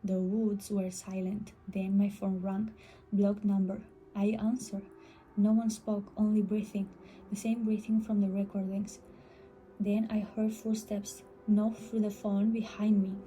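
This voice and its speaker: A woman's voice: mid-range voice, flat delivery, measured pace, warm tone, a little hiss or hum, poor audio. A woman reading a scripted first-person horror story as a storyteller.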